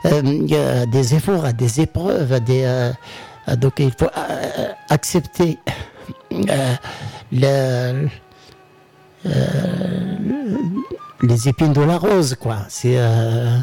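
Slow, gravelly man musing on air. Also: mid-range voice, expressive, dark tone, faint music behind. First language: not French. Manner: halting, searching for words. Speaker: radio host. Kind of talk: reflective radio talk. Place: radio studio.

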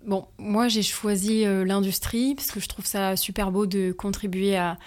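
A woman's voice: medium pitch, flat delivery, normal speed, darkish tone. Young French woman, hesitant and careful. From France. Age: 20s. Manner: hesitant, frequent "euh" fillers, careful explanations. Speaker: interview guest, factory team manager. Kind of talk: answering interview questions.

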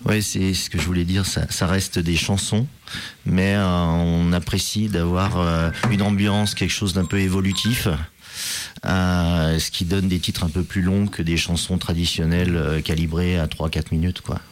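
A man who sings and writes the lyrics for a band speaks as a guest in a radio studio. His voice is very deep, and his delivery is loose and casual with plenty of fillers. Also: little pitch movement, moderate pace, slight background noise. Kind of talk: radio interview.

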